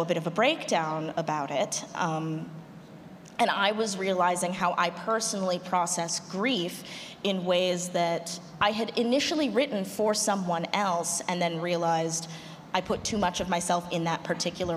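Woman panelist in an echoey hall. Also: fairly low pitch. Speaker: panelist, audio drama writer and performer. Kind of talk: unscripted panel talk. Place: large panel room at a convention. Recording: echoey hall, noisy, roomy pickup.

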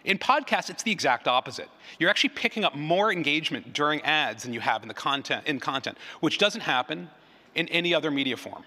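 A man's voice: fairly high pitch, animated, quick pace, sharp tone. A man giving a conference presentation in a conversational way, often doubling back on his phrases. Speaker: conference speaker, advertising researcher. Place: large room, live event. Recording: reverberant hall sound.

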